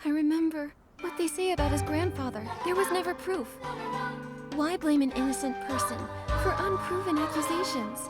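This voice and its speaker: A woman playing a kind, earnest young stylist heroine in a video game, gentle and concerned in manner. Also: high voice, normal speed, over loud music, lightly acted.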